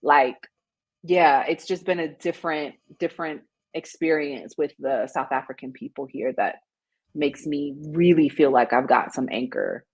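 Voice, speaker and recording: A low-voiced woman from the United States talks as a guest in a casual interview. She speaks reflectively and keeps her talk loose, full of easy fillers. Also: normal speed, darkish tone, breathy edge, poor audio.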